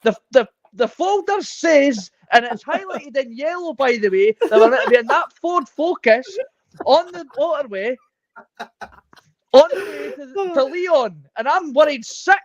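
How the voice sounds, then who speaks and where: A man's voice: very high-pitched, lively intonation, a little slow. A man playing a fretful, scolding worrier. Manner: mock-anxious scolding. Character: fussing worried man in bathrobe, anxious. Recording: dry, dull lo-fi sound.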